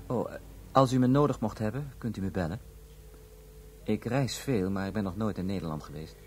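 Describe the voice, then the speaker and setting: An actor playing a formal, guarded drug supplier who speaks with measured politeness in a Dutch radio play. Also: male voice, on the low side, darkish tone, close to an everyday voice. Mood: suave. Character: courteous, guarded foreign drug supplier, a man. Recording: noisy radio drama recording.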